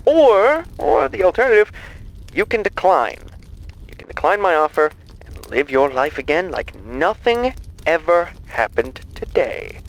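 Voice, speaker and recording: A man's voice: sits high, sing-song, measured pace, creaky, exaggerated voice, low-quality recording. A man voicing a gleeful, taunting villain, a corporate mastermind's uploaded mind, in theatrical audio drama style. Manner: theatrical, taunting, frequent chuckling.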